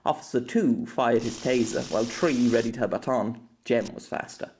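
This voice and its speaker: A man narrating an action scene in an audiobook in a steady storytelling voice. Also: mid-range voice, moderate pace, slight background noise. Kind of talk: scripted narration.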